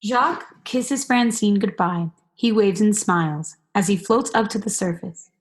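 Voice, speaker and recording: A woman's voice: mid-range voice, measured pace. A young woman reading stage directions as scripted narration for a radio play. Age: young adult.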